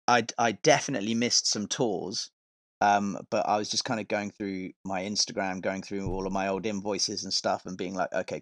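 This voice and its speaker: A man with a fairly low-pitched voice talks as a guest on a podcast. He tells stories in a loose, rambling, informal style with some swearing. The sound is dry, with very little room on it. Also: a bit bright, no echo. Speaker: podcast guest, touring metal vocalist and tour manager. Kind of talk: casual podcast chat, telling stories from touring.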